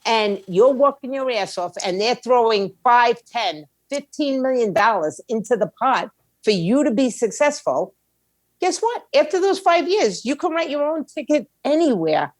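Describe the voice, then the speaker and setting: A woman's voice: a little high, expressive, normal speed, no echo. Blunt, emphatic woman giving her opinion. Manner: blunt, emphatic, uses slang and rhetorical questions. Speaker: music industry attorney and indie label owner, interview guest. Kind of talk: opinionated interview talk.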